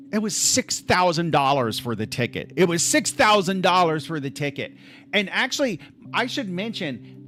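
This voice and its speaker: A man hosting a casual stream from his laptop at home, his voice sitting a little high and swinging widely in pitch. He rambles, trips over his words and restarts often, filling the gaps with "like." Soft music plays faintly behind him. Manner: rambling, restless, full of restarts and "like". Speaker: livestream host. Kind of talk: casual livestream chat.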